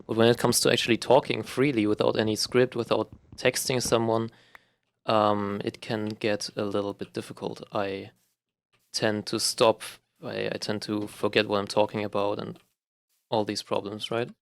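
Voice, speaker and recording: German-accented young guy, low and monotone, halting casual gaming chat. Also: vocal fry, dry recording. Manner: rambling, halting, with many fillers and restarts. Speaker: gaming vlogger. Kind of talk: casual unscripted talk while playing a game.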